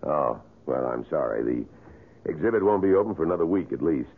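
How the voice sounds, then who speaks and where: Low, husky, courteous man. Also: expressive, fairly quick, exaggerated voice. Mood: polite, reserved. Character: courteous, reserved museum employee off duty. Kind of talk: 1970s radio drama acting. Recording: thin, phone-like old radio recording.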